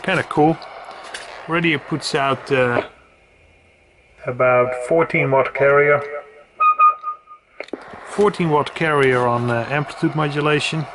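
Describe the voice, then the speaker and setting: Halting man demonstrating gear over loud static. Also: fairly high pitch, steady tone. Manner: halting, with hesitant pauses. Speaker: radio equipment reviewer. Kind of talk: casual hands-on demonstration. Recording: loud radio hiss and static. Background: radio noise.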